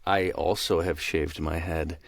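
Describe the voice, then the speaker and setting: A man with a lowish voice in a very dry, close recording. He banters as a podcast co-host, teasing in loose, casual talk. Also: steady tone, unhurried, no room sound. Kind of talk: casual chat.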